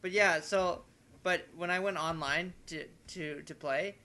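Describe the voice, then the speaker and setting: A man's voice: fairly high pitch, fairly even intonation, unhurried, pushed and bright. A young man chatting casually about games as a podcast guest, rambling and rephrasing himself as he goes. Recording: amateur podcast, clear background noise.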